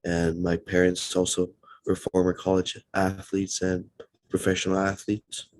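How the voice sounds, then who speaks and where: A man's voice: sits low, monotone, a little slow, no echo, poor audio. A young man from the Philippines, a student athlete giving straightforward, casual panel answers. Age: young adult.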